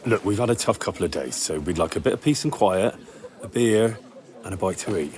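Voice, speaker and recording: An actor playing a plain-spoken, weary young traveller in a medieval video game. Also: male voice, low-pitched, lively intonation, brisk, a little mellow. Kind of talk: video game cutscene acting.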